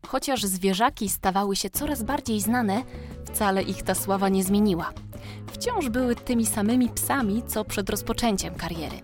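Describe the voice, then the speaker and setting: A woman narrating a scripted children's story in a measured, expressive way. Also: medium pitch. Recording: music behind narration.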